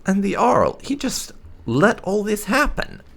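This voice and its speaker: A man gives casual, laid-back commentary over a video game. His delivery is slow and creaky, with a pitch that swings widely as he muses in blunt slang. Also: wildly swooping pitch, fairly bright tone. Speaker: gaming YouTuber. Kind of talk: casual let's play commentary with light roleplay.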